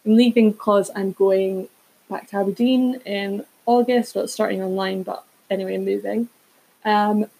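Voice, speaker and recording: A woman's voice: medium pitch, creaky, dark tone, slightly rough, low-quality recording. A woman, chatting casually as a solo podcast host in a rambling way with self-aware asides. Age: 20s.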